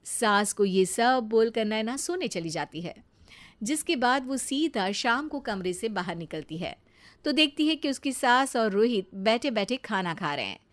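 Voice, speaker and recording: Woman narrator with a fairly high voice. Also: expressive, a little slow. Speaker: story narrator. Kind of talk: scripted story narration.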